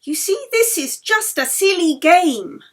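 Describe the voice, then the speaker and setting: A woman's voice: very high voice, expressive, a little slow, pitched above the performer's own voice. A woman voicing an uneasy woman violinist in an audiobook, emphatic and protesting as she politely resists. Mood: agitated, insistent.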